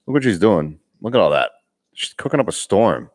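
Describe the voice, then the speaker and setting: Young man, Boston accent, lowish voice, chatty live-stream host, laughs easily, restarts sentences. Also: sing-song, brisk. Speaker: vlogger hosting a live Q&A. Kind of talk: casual live-stream chat reading comments.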